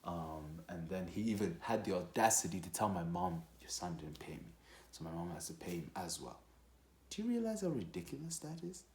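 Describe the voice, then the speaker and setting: A young man from Rwanda telling a personal story casually, in an animated, slangy and profane way. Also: deep voice, brisk. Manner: animated, slangy, profane, with acted-out quotes and sound effects. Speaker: storytelling vlogger. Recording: echoey, roomy sound.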